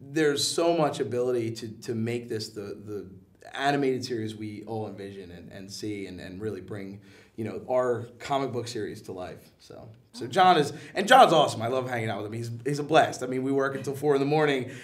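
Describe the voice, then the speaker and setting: A man's voice: medium pitch, brisk. A man speaking as an interview guest in a casual, enthusiastic, slangy and joking way. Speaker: guest, comic book and animation producer. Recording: voice somewhat far from the mic.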